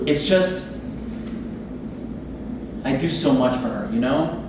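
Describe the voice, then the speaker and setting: A man's voice: mid-range voice, animated, slow pace, recorded from a distance. Overbearing surgeon, a man acting, wheedling and needy, stage reading in a very echoey, noisy room. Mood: creepy, confiding. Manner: pushy, wheedling. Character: needy, overbearing male plastic surgeon and boss. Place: theatre space, recorded from audience distance.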